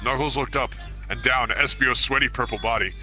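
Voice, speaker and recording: A man's voice: medium pitch, fairly even intonation, brisk, bright, forceful tone. A man reading a comic story aloud as a dramatic narrator, with a mock-serious manner. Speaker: amateur reader of comic fan fiction. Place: online virtual reality hangout. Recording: music playing behind the voice.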